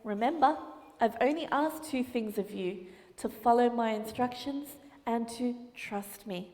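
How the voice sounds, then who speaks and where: A woman voicing a patient male karate coach who speaks softly and steadily. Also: a little high, unhurried, long reverb tail, close to an everyday voice. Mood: calm. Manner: soft, steady, reassuring.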